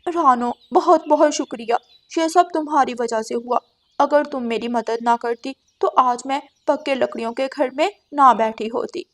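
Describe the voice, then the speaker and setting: A woman's voice: high-pitched, normal speed. A woman voicing a heartfelt, firm mother crow in a cartoon, earnest and emphatic. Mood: grateful.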